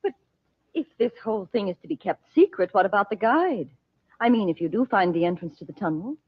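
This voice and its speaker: A woman's voice: medium pitch, animated, a little fast, breathy edge, no room sound. An actress playing an anxious, skeptical, genteel mother with emotional, pleading delivery in an old-time radio serial. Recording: tinny, band-limited old radio recording.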